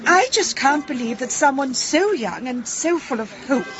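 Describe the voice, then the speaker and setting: Simpering, flirty village drama queen, high and swooping, overwrought radio comedy style. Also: expressive, normal speed, highs cut off. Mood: self-pitying. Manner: overwrought, breathless gushing. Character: simpering, melodramatic, flirtatious village woman feigning distress.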